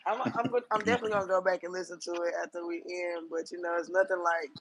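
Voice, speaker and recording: A hoarse man calling into a casual live audio chat, tired and easygoing. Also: sits high, little pitch movement, fast, fairly bright tone, a little hiss or hum, rough recording. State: hoarse from being sick. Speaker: caller.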